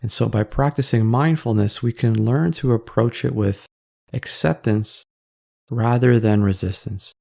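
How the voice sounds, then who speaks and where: A man leading a guided meditation in a soft-spoken, measured, calming way with pauses between phrases. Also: mid-range voice, vocal fry, no room sound, studio quality.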